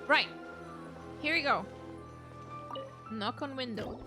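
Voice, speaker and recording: A woman's voice: sits high, slow pace, over music. A woman giving casual live commentary as she streams a game, with informal, slangy reactions.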